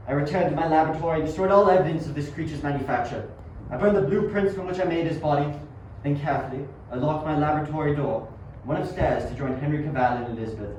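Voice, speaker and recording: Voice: mid-range voice, darkish tone, natural voice. An amateur performer plays an anguished young scientist, dramatic and obsessive, in a homemade radio drama. The voice sits back from the mic in heavy echo, with a faint layer of noise. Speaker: amateur student performer and narrator. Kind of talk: amateur radio play acting and narration. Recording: very echoey, distant, faint noise.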